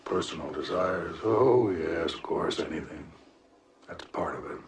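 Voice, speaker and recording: An actor playing a calm, devoted cult recruiter in a film drama, persuading slowly and solemnly in a hushed voice. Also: male voice, deep voice. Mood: quietly persuasive. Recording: distant, noisy film clip with clicks.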